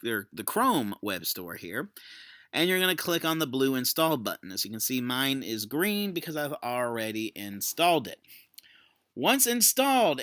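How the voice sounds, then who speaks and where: A man giving an enthusiastic, emphatic software tutorial in an informal, folksy way, like an educator walking viewers through a demo. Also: mid-range voice, no room sound. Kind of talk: screencast tutorial presentation.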